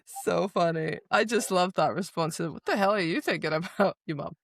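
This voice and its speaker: Creaky-voiced woman, low-pitched, chatting casually. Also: normal speed, no room sound, clean studio sound. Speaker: podcast co-host. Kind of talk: casual book recap chat.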